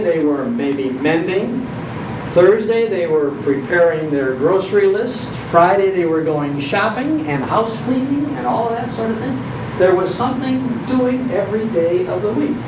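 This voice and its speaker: Older american guy doing a folksy nostalgia talk, dramatic pauses, muffled roomy live recording. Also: high-pitched, far from the mic, noisy background. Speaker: guest speaker on old-time radio.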